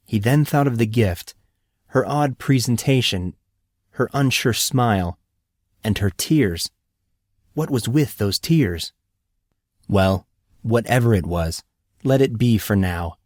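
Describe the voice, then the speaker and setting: A man's voice: fairly low pitch, expressive, takes it slowly, long pauses, darkish tone, dry recording, clean studio sound. A man reading a fantasy audiobook as a scripted narration.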